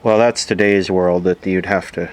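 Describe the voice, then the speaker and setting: A man giving casual, off-the-cuff repair commentary, halting as he thinks aloud while working. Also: low voice, fairly even intonation. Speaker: repair video host, vintage electronics hobbyist. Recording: clear background noise from a workbench recording. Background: ambient noise, passing aircraft.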